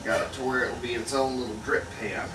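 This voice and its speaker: A man giving a casual hands-on demonstration, unhurried and folksy, thinking aloud as he works. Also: medium pitch, steady tone, noisy background. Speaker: hobbyist restorer and video host.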